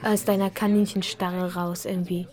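A woman's voice: lowish voice, flat delivery, normal speed, a little mellow, natural voice. An actress playing a frustrated, reproachful girlfriend, insistent and accusing in naturalistic radio play dialogue.

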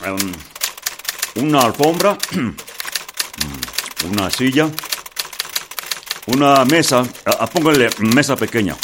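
An actor playing a fussy official in a radio drama, muttering haltingly between items and giving orders. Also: male voice, mid-range voice, sing-song, noisy background. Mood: fussy, commanding. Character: fussy official dictating a list, ordering guards. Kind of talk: historical radio drama acting.

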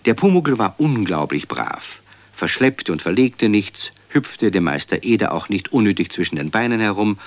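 A man narrating a children's radio play as a calm, amused storyteller. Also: lowish voice, dry recording.

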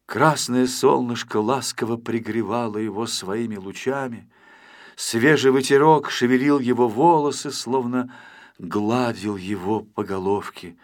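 A man reads a children's story in a mid-range voice. The delivery is scripted and expressive, that of an audiobook narrator. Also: mid-range voice, a little slow.

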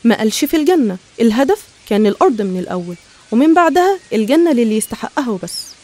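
A woman narrator with an Egyptian accent tells and explains a scripted animated story. Her voice is fairly high and mellow, and she moves along quickly.

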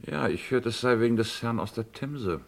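An actor playing a curt, dignified English gentleman with clipped, imperious delivery in a 1960s German radio crime comedy. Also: mid-range voice, animated, normal speed, gravelly. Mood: reserved. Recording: old 1960s radio play recording.

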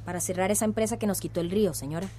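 A woman's voice: medium pitch, rapid-fire, dark tone, soft background music, close to an everyday voice. A woman playing an eager, outspoken young village activist in a radio drama. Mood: determined.

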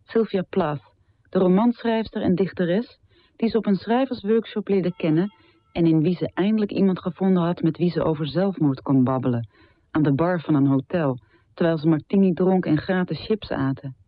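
An actress playing a bereaved adult daughter, soberly narrating memories of her mother in a measured radio drama monologue. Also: on the low side, no echo, dull audio, natural voice. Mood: sober, reflective.

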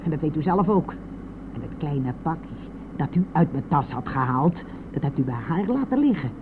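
A woman's voice: sits low, animated, normal speed. An elderly mother in a radio drama, stubborn and aggrieved, pressing her points insistently and sometimes sinking toward a whisper. The sound is narrow and phone-like with a faint layer of noise. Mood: accusing, indignant. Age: older. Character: anguished, stubborn elderly mother of a grown son. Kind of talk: radio play acting. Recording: noisy phone-like old radio play recording.

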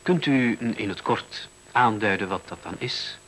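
A courteous radio-drama reporter with a fairly low voice. He puts his questions in a measured, probing manner. The recording carries faint noise. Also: expressive, a bit bright, rough recording. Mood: probing, polite. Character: polite, probing male newspaper reporter. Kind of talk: Dutch radio play acting.

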